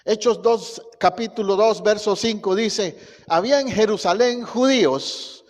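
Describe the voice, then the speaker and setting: A man from Costa Rica with a Costa Rican Spanish accent, a pastor preaching a sermon and telling stories in a lively way. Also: high voice, a little slow, in a large hall. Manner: storytelling, animated, laughs at own anecdotes. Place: church sanctuary.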